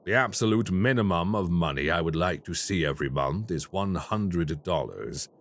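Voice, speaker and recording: A calm, formal crime boss in an audio roleplay. His voice sits very low and he keeps every word polite and measured, all business. The recording is clean. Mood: courteous.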